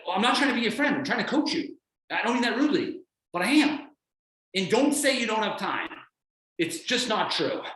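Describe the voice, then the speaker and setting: Guy coaching hard, bright punchy voice, non-american accent, echoey room on a call. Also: sits high, brisk. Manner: energetic, blunt, acts out others' voices. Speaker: fitness coach guest speaker. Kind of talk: motivational coaching talk.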